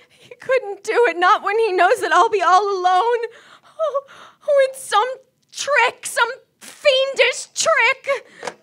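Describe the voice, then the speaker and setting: An actress playing a frantic, self-pitying, demanding invalid wife in a melodramatic radio play, with a stammering, imperious way of talking. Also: female voice, very high voice, fairly bright tone, heavily acted. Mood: despairing, tearful. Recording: live stage recording.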